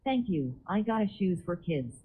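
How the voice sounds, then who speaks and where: A woman's voice: fairly low pitch, very animated, moderate pace, dark tone, husky, poor audio. A synthetic woman's voice acting as a shopping chatbot, stiff and robotic in phrasing.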